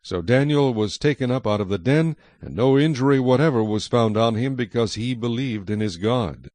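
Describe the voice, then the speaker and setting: A man's voice: mid-range voice, moderate pace, a little mellow, dry recording. A man reading scripted narration as the narrator of a dramatized Bible recording.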